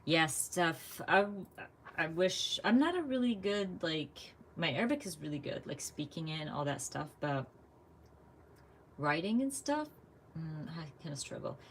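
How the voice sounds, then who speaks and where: A woman's voice: sits low, very animated, measured pace, slight background noise. A woman from the United States chatting casually while she crafts live, thinking aloud and laughing often. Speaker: craft vlogger.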